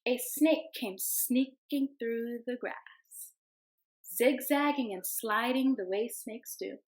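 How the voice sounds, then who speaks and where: Young woman, teacher, children's read-aloud, gentle storytelling, sing-song calls, fairly high voice. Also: takes it slowly. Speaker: teacher reading aloud to her class.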